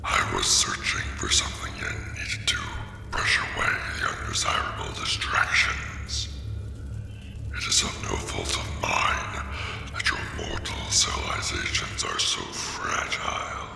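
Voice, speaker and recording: A man voicing a huge, ancient golden dragon in a fantasy ASMR roleplay, haughty and possessive, speaking in a gravelly, breathy growl with imperious condescension. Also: measured pace, close to an everyday voice. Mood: haughty, disdainful. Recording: echoing cavern reverb, music and ambience behind. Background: dragon lair ambience.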